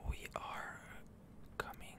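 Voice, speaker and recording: A game streamer speaking in a breathy, unvoiced whisper, giving soothing ASMR commentary with drawn-out words. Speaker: video game streamer and channel host.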